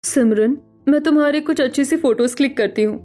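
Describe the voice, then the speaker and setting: A woman's voice: high voice, brisk, dark tone, faint music behind, lightly acted. A woman voicing a kind, encouraging young sister-in-law in a cartoon story, with a coaxing, reassuring manner. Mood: friendly, insistent. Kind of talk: dramatic Hindi cartoon story acting.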